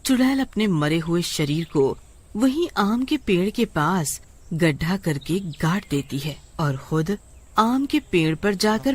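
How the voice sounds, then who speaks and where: A woman's voice: lowish voice, a little mellow. A woman reading scripted narration for a cartoon story.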